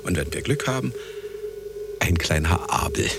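Aging, gravelly, very deep-voiced obsessive. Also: male voice, animated, moderate pace, loud music behind the voice. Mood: pleading. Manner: theatrical, insistent, swinging between coaxing and brooding. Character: aging, obsessive, theatrical man pleading for a child. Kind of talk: German radio drama acting.

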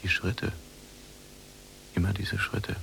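A bitter, haunted prisoner in a radio play. His delivery is flat and brooding, with extreme gravelly fry. A faint hum lies under the recording. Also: low voice, slow, heavily acted, low-quality recording. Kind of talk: literary radio play acting.

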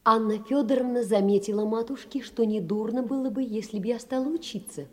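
An actress playing a poor, devoted, anxious young woman in a theatrical audio drama, telling her story in an expressive, intimate way. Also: a little high, fairly quick, close to an everyday voice. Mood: reflective. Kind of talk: theatrical audio drama, reading letters and memoirs.

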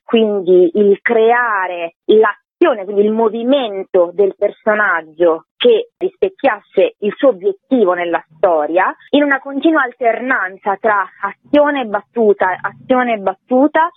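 A woman's voice: fairly high pitch, dry recording. A woman explaining her work as a theatre director in a radio interview. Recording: dry, band-limited phone line on radio.

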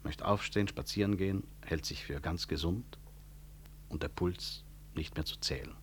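An actor playing a composed, sardonic senior hospital director in a 1960s radio drama, speaking with dry irony. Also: male voice, sits low, normal speed, a little hiss or hum, close to an everyday voice. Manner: dry, ironic. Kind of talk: 1960s German-language radio drama acting.

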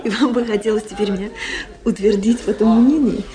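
An actress playing a proud young princess in a radio play, cool and coquettish with a teasing reserve. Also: female voice, mid-range voice, fairly even intonation, fairly quick, mellow, close to an everyday voice. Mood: cool, haughty.

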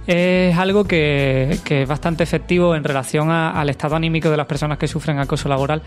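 A man's voice: on the high side, fairly even intonation, fairly quick, soft background music. A man speaking conversationally as a union member on a radio panel.

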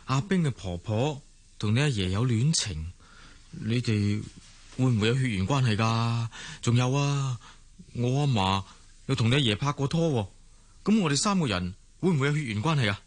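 An actor playing a blunt, excitable young grandson in a radio drama, with a Hong Kong Cantonese accent. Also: male voice, mid-range voice, normal speed, natural voice. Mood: agitated.

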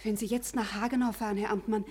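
An actress playing a conscientious, gently insistent office secretary in a 1950s German radio play, speaking earnestly and respectfully. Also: female voice, medium pitch, fairly even intonation, fast talker, slight background noise, lightly acted. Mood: concerned, pleading.

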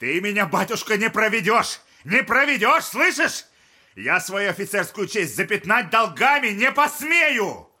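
An actor playing a hot-headed young officer and wronged son in a Russian audio drama, indignant, impulsive and emphatic. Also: male voice, sits high, sing-song, a little slow, pushed and bright, full character voice. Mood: furious, defiant. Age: young adult.

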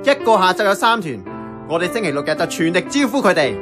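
Casting: earnest, scheming Hong Kong Cantonese cafe boss, high-pitched, very lively intonation, radio drama. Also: male voice, fast, over music. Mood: eager. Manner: animated, persuasive. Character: earnest, scheming small tea-restaurant boss rallying his staff.

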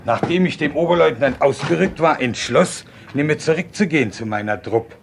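A man's voice: on the high side, moderate pace, creaky. Plain-spoken older village man, noisy recording. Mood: matter-of-fact recollection. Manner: colloquial, earthy, recounting memories. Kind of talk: naturalistic radio drama interview. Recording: noisy location-style radio recording.

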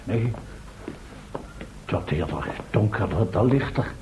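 Pompous leader, swooping pitch. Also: male voice, expressive, slow. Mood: bossy. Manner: pompous, lecturing. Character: bossy, scoffing man leading a band of adventurers. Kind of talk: radio drama acting. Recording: noisy old radio play recording.

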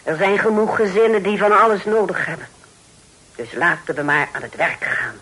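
A woman playing a kindly, brisk, pious middle-aged aunt in a radio play. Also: fairly low pitch, a bit bright, husky. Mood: brisk, motherly. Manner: kindly, brisk, full of hums. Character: pious, motherly, industrious aunt of old times. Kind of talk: Dutch radio play acting. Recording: noisy old radio drama recording.

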